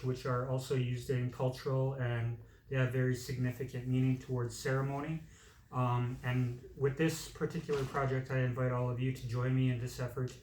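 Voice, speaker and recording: A man's voice: mid-range voice, darkish tone. A man giving a community speech, plain-spoken with frequent fillers. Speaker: community speaker. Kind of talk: public speech. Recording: echoey room, distant mic, faint noise.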